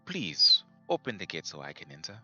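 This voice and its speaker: A man's voice: sits low, wildly swooping pitch, moderate pace, bright, forceful tone, faint music behind, full character voice. A man playing an arrogant, skeptical doctor of science with a pompous, demanding manner. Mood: impatient. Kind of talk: audio drama acting. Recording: slightly dull lo-fi recording.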